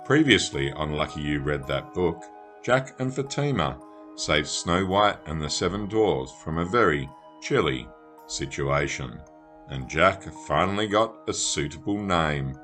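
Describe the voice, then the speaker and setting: Narrator for a school radio play, low voice, scripted storytelling, light music underneath. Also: animated, a little slow, fairly bright tone.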